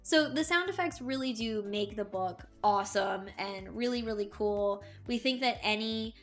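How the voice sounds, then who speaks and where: A woman's voice: medium pitch, moderate pace, a bit bright, faint music behind. A woman co-hosting a book review show, chatting casually in an enthusiastic, emphatic way.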